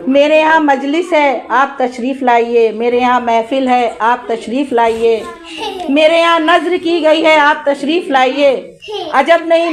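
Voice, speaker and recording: A woman orator delivering a Shia religious sermon in a declamatory way, using rhetorical questions and repeated phrases. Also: high-pitched. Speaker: majlis orator. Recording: live gathering with crowd noise. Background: congregation crowd.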